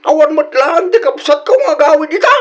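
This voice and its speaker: Scolding old man, high-pitched, Ilocano accent, incredulous, muffled sound, radio drama. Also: sing-song, a little fast, a bit bright, exaggerated voice. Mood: indignant. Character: indignant old father-in-law, scolding and incredulous.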